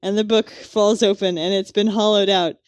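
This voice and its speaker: A woman's voice: mid-range voice, fairly even intonation, normal speed, no room sound. A woman co-hosting a podcast in casual, conversational chat. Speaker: podcast co-host.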